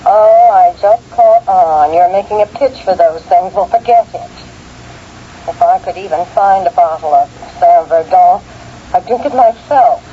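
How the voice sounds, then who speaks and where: An actress playing a wealthy, skeptical sister with a curt, dry, suspicious manner in an old-time radio drama. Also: female voice, lowish voice, a little slow, close to an everyday voice. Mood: suspicious, scornful. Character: skeptical, brusque, wealthy sister woken at midnight. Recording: dull, hissy old radio recording.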